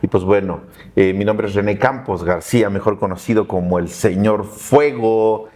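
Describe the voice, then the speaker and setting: A man, a coach who speaks in a measured, reflective way on a podcast. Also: on the low side, darkish tone. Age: 40s. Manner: measured, reflective, with many "eh" fillers. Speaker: life coach and psychologist. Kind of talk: casual podcast chat.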